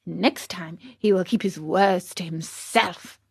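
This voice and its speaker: A sly, cruel storybook cat, acted by a woman reader. He speaks slowly, stretching words as he justifies himself and pretends to be blind. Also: on the low side, creaky, breathy edge, no room sound, clean studio sound, heavily acted. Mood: cold, smug. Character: sly male blind cat, fox's cruel, feigning accomplice.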